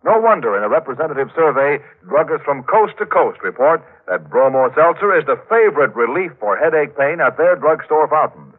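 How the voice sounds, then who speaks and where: A man's voice: on the high side, very animated, brisk, few pauses. A man with an American accent announcing and reading sponsor copy in 1940s radio style. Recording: tinny, band-limited old radio.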